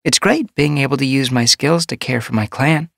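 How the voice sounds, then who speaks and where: A woman playing a brisk, kindly cat healer. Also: very deep voice, gravelly, dry recording, clean studio sound, full character voice. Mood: warm. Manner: brisk, kindly, matter-of-fact. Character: calm, brisk female medicine cat.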